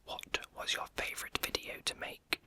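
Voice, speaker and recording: A young adult YouTube creator whispering through an ASMR question-and-answer video, rambling and hesitant with many fillers. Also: moderate pace.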